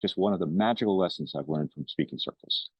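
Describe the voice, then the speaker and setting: A man's voice: deep voice, fast, slightly rough, dry recording. A man giving an informal personal testimonial to camera on a dull, lo-fi recording. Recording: dull, lo-fi sound.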